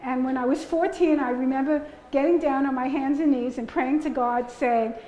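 A woman's voice: high-pitched, fairly even intonation, normal speed, warm tone. Chatty older Bronx woman in a roomy gallery. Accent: Bronx New York. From the Bronx, New York. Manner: chatty, laughing often, rambling with restarts. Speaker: artist giving a talk. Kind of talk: audience Q&A. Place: museum gallery before a seated audience. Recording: roomy gallery with faint ambience.

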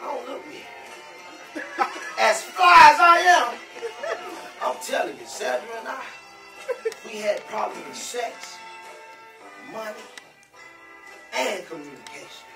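A man playing a lovestruck, exuberant older husband in a stage play, talking to the audience in a folksy, boisterous way with drawn-out exclamations. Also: very high voice, animated, gravelly, over music, exaggerated voice. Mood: nostalgic, jovial. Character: exuberant, lovestruck older husband, married thirty-five years. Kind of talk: theatrical stage monologue to the audience. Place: indoor theater stage with living-room set. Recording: echoey stage, picked up from far off.

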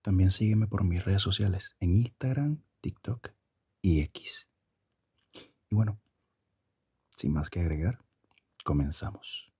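A soft-spoken man in his thirties presenting ASMR, often repeating his words. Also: low voice, slow pace, pauses often, husky, dry recording. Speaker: ASMR channel host.

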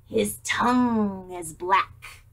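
A woman's voice: medium pitch, very slow, pitched below the performer's own voice. A woman doing a small clever storybook mouse.

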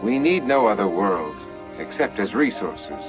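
A man's voice: lowish voice, very animated, measured pace, muffled recording. A proud male alien leader, as acted out by an audiobook reader, speaks with formal, earnest emphasis. He is defensive about his people, and music plays behind him. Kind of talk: audiobook character dialogue.

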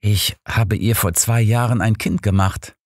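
A man's voice: on the low side, fairly even intonation, measured pace, darkish tone, dry recording, studio quality, voice pushed up. A man voicing a sheepish male penitent, hesitant and apologetic, in an audiobook. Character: sheepish male sinner confessing, smitten with neighbour. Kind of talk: audiobook character dialogue.

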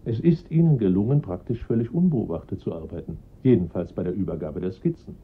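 An actor playing a formal, calm police inspector who explains the case patiently. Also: male voice, deep voice, husky, phone-quality sound, full character voice. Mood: measured. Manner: measured, formal, reassuring. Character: calm, dutiful middle-aged male police inspector. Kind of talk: radio drama acting.

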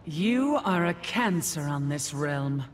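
A solemn goddess passing judgment in a fighting game. Her delivery is formal and grave yet swinging in pitch, with noise clearly behind it. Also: animated, takes it slowly. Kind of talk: video game fight intro dialogue.

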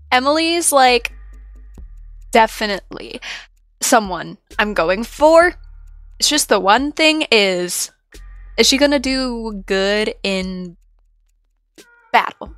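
A woman's voice: medium pitch, fairly bright tone, no echo. A woman hosting a reaction video, chatting casually in a rambling, hesitant way with trailing thoughts. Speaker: host of a reaction channel. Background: faint music.

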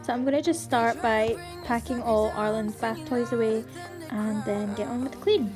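A woman's voice: a little high, fairly even intonation, normal speed, over loud music. A young pregnant woman, tired but chatty, narrating a home cleaning video in voice-over. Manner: chatty, friendly, explaining each step. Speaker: cleaning vlogger.

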